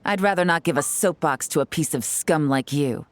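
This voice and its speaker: An actress playing a cool, sardonic young female detective in a video game, composed, measured and wry. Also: deep voice, no room sound, lightly acted. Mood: cold contempt. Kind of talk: dramatic game voice acting.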